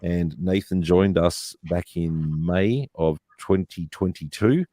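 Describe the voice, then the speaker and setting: Male stream host, low and warm, dry close sound, casual chatter with fillers. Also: slow pace, no room sound. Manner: chatty, says "mate" and "uh". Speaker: livestream host. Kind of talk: hosting a live stream.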